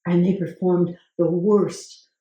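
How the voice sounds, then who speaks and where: A woman hosting a channel, talking to camera about research, with a gravelly voice today and a measured way of asking viewers questions. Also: fairly low pitch, little pitch movement, dark tone. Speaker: host. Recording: very echoey room.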